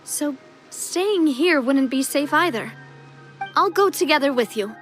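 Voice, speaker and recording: Dutiful young lady, high voice, earnest, burdened, game cutscene acting, soft background music. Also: expressive, measured pace. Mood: cautious.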